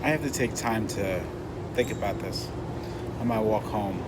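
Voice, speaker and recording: Low-voiced man, blunt and unimpressed. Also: normal speed, heavy background noise. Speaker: movie reviewer vlogger. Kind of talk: casual spoken movie review while out walking.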